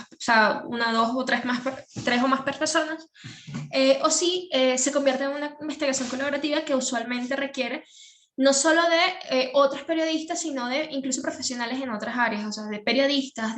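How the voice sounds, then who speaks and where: A Venezuelan woman with a high voice explains her work over a video call, stopping often with fillers and restarts. The room around her is echoey and roomy. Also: fairly bright tone. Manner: hesitant, many fillers and restarts. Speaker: workshop speaker. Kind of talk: explaining, thinking aloud.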